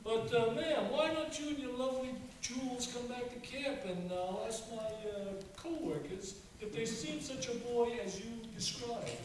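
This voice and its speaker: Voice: mid-range voice, moderate pace, hardly any pauses, natural voice. An actor playing a sly, uneducated crook in a radio play, talking in folksy, ungrammatical tough talk. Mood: wheedling, menacing. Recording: roomy far-off mic, hissy echoey room.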